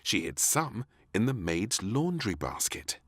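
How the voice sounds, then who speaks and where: A man narrating a scripted children's story in an expressive, deliberate storytelling manner. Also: fairly low pitch, faint music behind.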